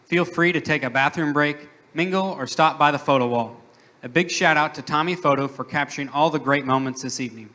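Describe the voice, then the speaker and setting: A man co-hosting an event, making clear and upbeat announcements. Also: fairly high pitch, fairly quick, pushed and bright.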